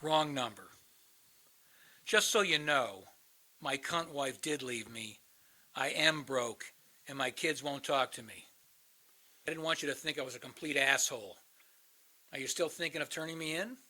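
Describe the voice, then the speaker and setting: A man's voice: mid-range voice, moderate pace, pauses often, sharp tone. An actor in a radio play, playing a cynical, bitter older man, a world-weary crisis hotline veteran who likes to philosophize. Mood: bitter, subdued.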